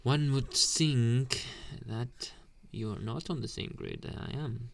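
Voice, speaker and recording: A man playing a teasing delinquent bully boy with a secret soft side, in a taunting, drawn-out anime ASMR roleplay. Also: medium pitch, vocal fry. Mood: teasing, suspicious. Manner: taunting, drawn-out, questioning hums. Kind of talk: ASMR boyfriend roleplay, slow intimate speech.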